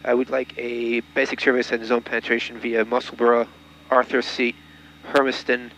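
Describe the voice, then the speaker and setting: A man's voice: mid-range voice, flat delivery, moderate pace, fairly bright tone. A man speaking as a private pilot, making clipped procedural radio calls with small hesitations. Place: light aircraft cockpit. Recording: dull audio with faint aircraft hum. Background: engine hum.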